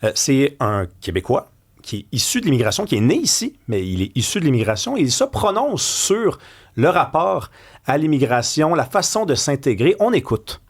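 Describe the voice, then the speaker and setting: A male talk-radio host with a Quebec French accent and a husky edge to his voice. His pitch rises and falls a lot as he lays out the topic with opinions and the odd filler. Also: moderate pace. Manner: animated, opinionated setup with fillers.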